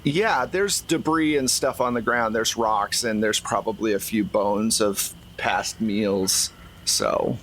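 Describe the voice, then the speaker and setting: A man's voice: lowish voice, slow, a bit bright. Conversational male game master, noisy recording. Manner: conversational, explanatory. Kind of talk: improvised narration of a tabletop roleplaying game.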